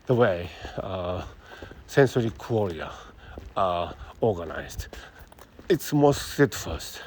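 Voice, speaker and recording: Slow, halting Japanese-accented man. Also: fairly low pitch, darkish tone, slight background noise. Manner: halting, thinks aloud with many "you know" fillers and restarts. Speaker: podcast host. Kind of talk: reflective walking monologue. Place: outdoors on city streets while walking. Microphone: phone held close.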